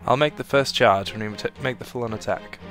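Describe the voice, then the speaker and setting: A man's voice: mid-range voice, fairly quick, fairly bright tone, faint music behind, close to an everyday voice. A man voicing an aloof, serious young mercenary, reserved and matter-of-fact, in a game dub. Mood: detached.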